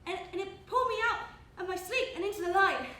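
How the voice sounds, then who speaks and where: A young woman acting in a live stage play as a gentle, lost woman with no memory, speaking hesitantly and repeating herself. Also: very high-pitched. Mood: dazed, searching. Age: young adult. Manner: hesitant, halting, repeats phrases. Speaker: student stage actor. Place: indoor theatre stage, live performance. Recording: roomy live stage recording, background noise.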